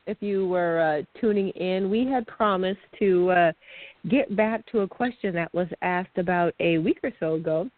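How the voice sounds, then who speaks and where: A woman's voice: medium pitch, dry recording. A woman hosts a podcast in casual, upbeat talk and laughs easily. Her voice comes through dry, with no room sound, and thin like a phone line. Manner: upbeat, laughs often, chatty. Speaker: podcast host. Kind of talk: casual chat.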